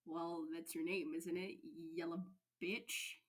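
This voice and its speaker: A woman's voice: lowish voice, little pitch movement. An agitated teenage schoolboy from an audio story, played by a woman. He is emphatic and repeats himself, flustered but with a cheeky, defiant streak. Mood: cheeky, taunting. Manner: rapid repeated muttering, emphatic.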